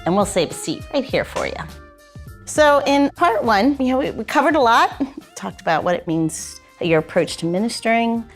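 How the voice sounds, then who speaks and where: A woman's voice: a little high, big pitch swings, normal speed, soft background music. A woman hosting a podcast in casual, informal interview chat, with a self-deprecating way of talking. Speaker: podcast host.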